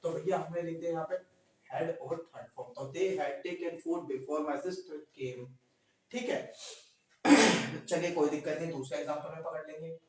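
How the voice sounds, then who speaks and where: A male teacher with a sore throat drills his class, asking each question twice and answering it himself. His voice sits high for a man. He sounds distant from the mic, in a room full of echo. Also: unhurried. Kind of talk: classroom grammar lesson.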